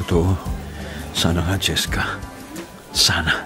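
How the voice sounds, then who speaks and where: A devoted, struggling young Filipino brother in a radio drama. His voice sits on the low side, earnest and emotive with hesitant turns. Music plays clearly behind him. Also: little pitch movement, slow pace. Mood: modest, worried. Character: devoted, struggling older brother and gentle sweetheart. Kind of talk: Tagalog radio drama acting. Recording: music under the voice.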